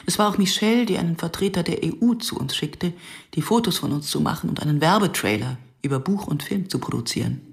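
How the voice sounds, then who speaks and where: A woman's voice: deep voice, gravelly. Sardonic, insistent woman in radio drama. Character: passionate Israeli woman filmmaker, sardonic, argumentative, narrating her story. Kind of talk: German radio drama narration and dialogue.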